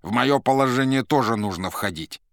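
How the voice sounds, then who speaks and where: A man voicing an irritable, gruff demonic emissary in an audiobook, commanding, sardonic and exasperated. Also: deep voice, fairly even intonation, normal speed, no room sound, studio quality. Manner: commanding, exasperated, hissing and yelling when crossed. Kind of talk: dramatised audiobook character voice.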